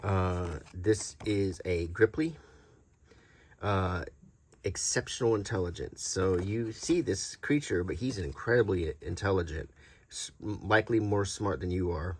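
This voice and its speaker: A middle-aged man doing a casual, unscripted show-and-tell as a video host, talking in a halting, rambling, conversational way. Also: deep voice, a little hiss or hum. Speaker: YouTube host showing vintage tabletop game monster cards.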